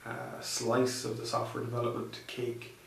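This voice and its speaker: Man, interview answers, halting speech, gravelly monotone, roomy echo. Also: medium pitch. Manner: hesitant, many fillers and restarts. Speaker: interviewee, software researcher. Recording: echoey room, distant mic, faint noise.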